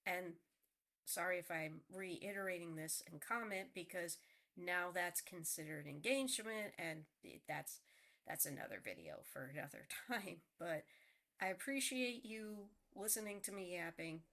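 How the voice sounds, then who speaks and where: A woman's voice: fairly low pitch, lively intonation, normal speed, a bit bright. A woman with a nasal voice just after a cold, rambling earnestly in a casual vlog monologue. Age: adult. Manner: rambling, earnest, restarts often. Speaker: vlogger. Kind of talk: casual heartfelt tribute monologue.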